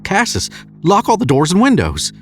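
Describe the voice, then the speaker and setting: A man's voice: high-pitched, wildly swooping pitch, normal speed, soft background music, voice pushed up. A man voicing a frightened, desperate male novelist in audio horror fiction, urgent and exclaiming. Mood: alarmed.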